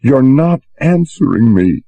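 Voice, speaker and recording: A man's voice: mid-range voice, lively intonation, a little slow, creaky, warm tone, husky, no room sound, muffled recording, voice pushed up, heavily acted. A man voicing a frightened young woman who pleads through tears, breathless and near sobbing. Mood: tearful.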